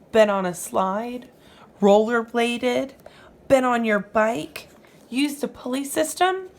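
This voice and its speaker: Slow, expressive woman reading aloud to children. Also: a little high. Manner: instructive, asks the listener questions. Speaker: teacher reading a picture book aloud. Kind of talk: read-aloud for young children with explanatory asides.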